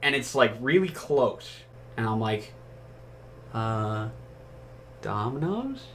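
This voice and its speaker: A man's voice: mid-range voice, animated, slow. A man telling comic anecdotes casually and animatedly, acting out the dialogue and swearing crudely. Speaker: storyteller on a comedy chat show.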